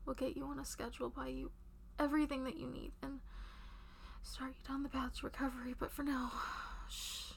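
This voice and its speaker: A woman's voice: sits high, flat delivery, fairly quick, gravelly, slight background noise. A woman playing a devoted, tender lover in an intimate audio roleplay, speaking breathily and reassuringly and often dropping toward a whisper. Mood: soothing, hushed. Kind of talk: intimate one-sided romantic audio roleplay.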